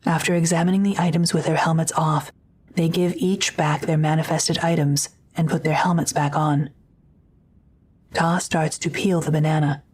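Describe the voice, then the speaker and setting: A woman's voice: sits low, steady tone, moderate pace, a little mellow, breathy edge. A woman reading scripted third-person narration as the narrator of an audio drama.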